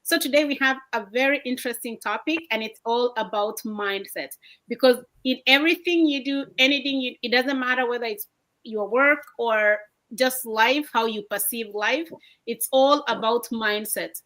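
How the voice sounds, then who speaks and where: Woman host from Kenya, upbeat livestream presenter, bright and fairly high. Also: normal speed. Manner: upbeat, welcoming, hands over between guests. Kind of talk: motivational livestream talk. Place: home, indoors at night.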